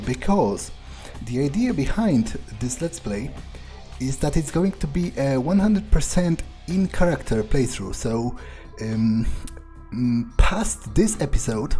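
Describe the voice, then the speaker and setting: Soft, mellow-voiced man introducing a game. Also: medium pitch. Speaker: let's play host. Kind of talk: casual explanatory gameplay commentary.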